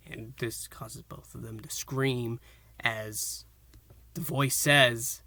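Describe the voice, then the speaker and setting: A man's voice: mid-range voice, steady tone, slow, bright, forceful tone. A man hosting a review show, recapping and reviewing in a casual, earnest, enthusiastic fan style. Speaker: host of an audio drama review show.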